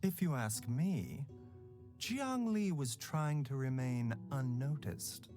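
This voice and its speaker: A composed, mysterious doctor, measured and unhurried, with a lively lilt in his pitch. He teases softly and never rushes, and faint game music plays underneath. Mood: calm. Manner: measured, unhurried and slyly teasing. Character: calm, enigmatic male doctor, young adult. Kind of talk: video game voice acting.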